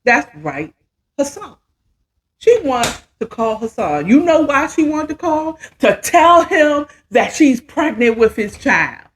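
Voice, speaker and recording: A woman's voice: sits high, big pitch swings, slow, a little hiss or hum. A woman in her fifties telling a story casually as a podcast host, chatty and emphatic, often checking in with the listener. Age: 50s.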